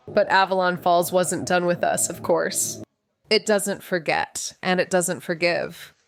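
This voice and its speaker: A woman's voice: medium pitch, lightly acted. A woman playing a wry young amateur detective in a neo-noir audio drama, shifting between teasing banter and brooding narration.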